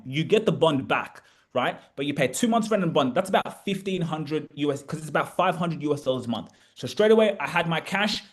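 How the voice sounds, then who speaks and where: A young Australian man in a casual vlog, telling a story with lively, repeated emphasis as a property coach. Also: on the high side. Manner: animated, repeats words for emphasis.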